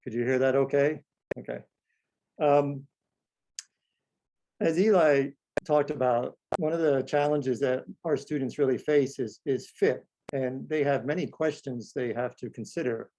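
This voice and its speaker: A man, a school counsellor, speaking calmly and in a measured way during a webinar over a video call. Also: mid-range voice, poor audio. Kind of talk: webinar presentation.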